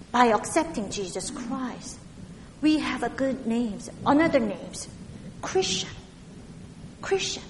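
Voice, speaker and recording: A woman from Korea with a heavy Korean accent, preaching a sermon in a halting way, with many fillers and restarts. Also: high-pitched, in a large hall, a little hiss or hum.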